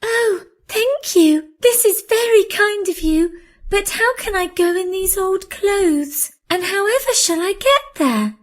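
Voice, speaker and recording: A gentle young storybook heroine in an extremely high voice. She sounds sweet, kind and pleading, with a girlish innocence. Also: animated, slow, exaggerated voice. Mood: grateful, wondering. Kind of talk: children's fairy tale character acting.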